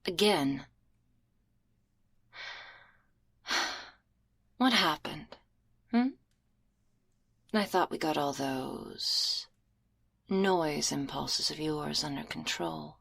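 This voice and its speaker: A woman's voice: low-pitched, expressive, slow, vocal fry, long pauses, a bit bright, breathy edge, full character voice. A woman playing a stern, teasing, domineering school librarian, scolding sarcastically in an intimate, half-whispered ASMR roleplay on a close binaural microphone. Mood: stern, sarcastic.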